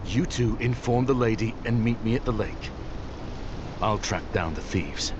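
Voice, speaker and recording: A man's voice: fairly low pitch, measured pace, lo-fi sound, background noise, lightly acted. A man playing a stern, resolute ranger in a video game, terse, earnest and firm. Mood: businesslike. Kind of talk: video game cutscene acting.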